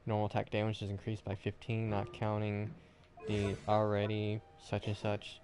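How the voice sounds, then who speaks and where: A man's voice: fairly low pitch, completely flat delivery, moderate pace, music in the background. A man doing casual gaming stream commentary, rambling and thinking aloud. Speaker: game streamer.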